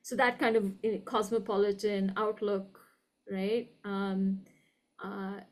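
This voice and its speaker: A woman with an educated upper-class Indian English accent, speaking reflectively and articulately in an interview, deliberate and emphatic, often checking in with "right?". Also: medium pitch, flat delivery. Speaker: guest, university professor.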